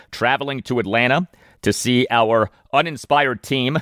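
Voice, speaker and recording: American man, medium-pitched voice, sports podcast host, emphatic announcer delivery, deliberate phrasing, dry close-mic sound. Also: fairly bright tone, no room sound. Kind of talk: sports podcast monologue, reading listener mail.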